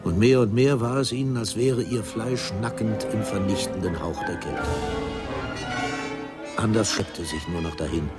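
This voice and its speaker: A man's voice: on the low side, unhurried. A man narrating a literary radio drama in a formal, solemn manner. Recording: music behind the voice.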